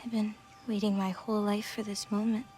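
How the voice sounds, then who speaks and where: Woman as earnest young girl over clear music. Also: medium pitch, level tone, a little fast, dark tone, lightly acted. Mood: awed. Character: earnest, awkward teenage girl, eager and lonely.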